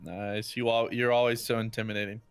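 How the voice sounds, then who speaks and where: A man's voice: on the low side, little pitch movement, brisk, clean tone, dry recording. A young man playing a tabletop game, easygoing and laid-back. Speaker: player. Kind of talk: casual tabletop game banter.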